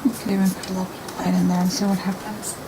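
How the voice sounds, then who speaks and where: A woman talking casually and thinking aloud as she paints, with a soft, rambling manner. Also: mid-range voice, level tone, rapid-fire, noisy background. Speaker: painting instructor. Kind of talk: casual painting demo talk.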